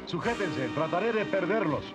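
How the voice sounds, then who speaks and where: A man's voice: high-pitched, lively intonation, moderate pace. A man with a Latin American accent voicing a good-natured, assured young hero prince in a cartoon. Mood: urgent. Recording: dull dub under loud cartoon music.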